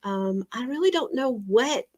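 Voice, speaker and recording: A woman's voice: on the high side, expressive, unhurried, a little mellow. Chatty congested woman co-host. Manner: chatty, rambling, frequent fillers. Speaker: yarn business co-host. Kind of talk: casual live-stream chat.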